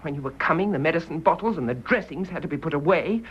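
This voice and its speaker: A stern British private nurse with a low voice. She speaks in firm, clipped, reproachful phrases, bitter and unyielding, in a radio drama with slightly muffled sound. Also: animated, fast, husky. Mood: bitter, accusing.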